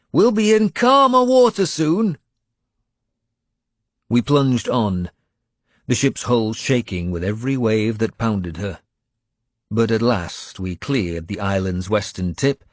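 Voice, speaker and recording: A man narrates a historical adventure in the first person. His voice is low and the sound is dry, with a dramatic, scripted storyteller's delivery. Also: darkish tone, no room sound. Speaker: audiobook narrator.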